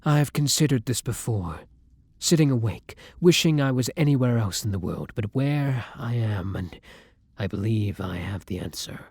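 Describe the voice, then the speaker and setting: A man playing a kind, tormented vampire in an intimate roleplay audio drama, murmuring softly and breathily in formal, old-fashioned phrasing. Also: mid-range voice, lively intonation, moderate pace, husky, dry recording, studio quality. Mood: grave, pensive. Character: kind, tormented male vampire, tender and protective lover.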